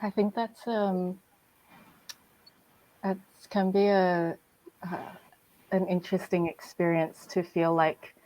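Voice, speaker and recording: A woman from Malaysia speaking as a writer on a festival panel, thoughtful and hesitant, with many pauses and fillers. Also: fairly low pitch, flat delivery, dark tone, low-quality recording. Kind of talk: panel discussion.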